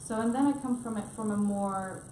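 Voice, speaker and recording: A woman on a research panel talks things through with frequent restarts and a thoughtful, hesitant delivery. Her voice sits at a middling pitch. The room around her rings with echo, and steady noise runs underneath. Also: fairly quick. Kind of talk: panel discussion remarks. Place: conference room, panel event.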